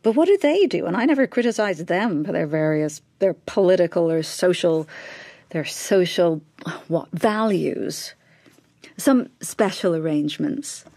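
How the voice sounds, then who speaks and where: An actress playing a bitter, distracted, well-to-do middle-aged wife in a naturalistic radio drama, speaking in clipped, repeated phrases. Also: female voice, fairly low pitch, lively intonation, unhurried, mellow, no echo. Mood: bitter, scornful.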